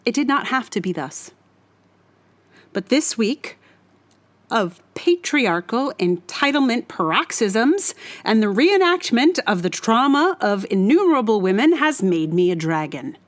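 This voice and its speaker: A woman performing a scripted dramatic monologue in a deliberate, theatrical and menacing manner. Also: on the high side, very animated. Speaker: writer reading own monologue.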